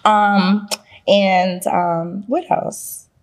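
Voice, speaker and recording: A woman's voice: medium pitch, extremely slow. A woman with a heavy Boston accent, a guest talking casually and laughing often, with plenty of ums. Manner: lively, laughing, many ums. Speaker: guest, actress. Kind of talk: casual interview chat.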